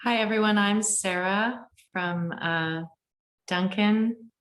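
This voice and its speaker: A woman from Vancouver Island introducing herself on a group call, speaking in an unhurried way. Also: medium pitch, a bit bright, reverberant. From Vancouver Island, Canada. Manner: slow, unhurried. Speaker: participant.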